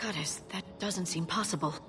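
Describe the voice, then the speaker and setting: A woman voicing a composed, scholarly alien scientist in a video game, speaking in a measured, articulate and earnest way. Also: lowish voice, background noise, lightly acted. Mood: awed. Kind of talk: video game cutscene acting.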